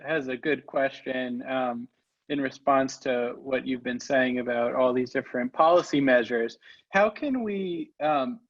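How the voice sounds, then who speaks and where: A man moderating a discussion, reading out an audience question in a measured way. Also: on the high side. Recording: dull lo-fi audio.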